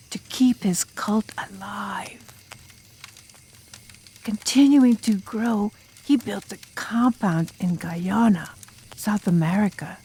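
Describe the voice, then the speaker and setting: A woman voicing an enigmatic drum major student telling a demon horror tale in an audiobook, with ominous, drawn-out, dramatic delivery. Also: medium pitch, warm tone, breathy edge, full character voice. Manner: ominous, drawn-out, dramatic, sometimes dropping to a whisper. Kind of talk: audiobook ghost story narration in character.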